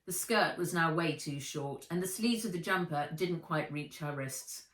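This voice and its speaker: A woman's voice: sits low, normal speed. A woman reading a children's adventure story aloud as its author, expressively, in a storytelling style. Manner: expressive, acts out the dialogue. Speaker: author reading her own book aloud. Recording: roomy echo, distant from the mic.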